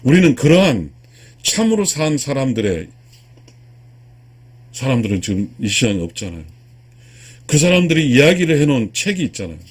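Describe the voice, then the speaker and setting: A man in his sixties from South Korea giving a spiritual lecture to viewers, earnest and emphatic, with a husky voice and repeated key phrases. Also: mid-range voice, sing-song, moderate pace, long pauses. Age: 60s. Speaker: lecturer, video channel host.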